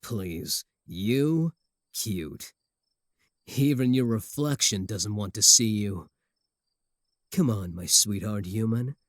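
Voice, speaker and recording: Sardonic male werewolf suitor, played by a man, comedic ASMR roleplay: slightly deep, possessive, taunting, recorded dry and close. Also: animated, slow, pauses often, no room sound. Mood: boastful, scornful. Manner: taunting, sardonic, doting on the listener.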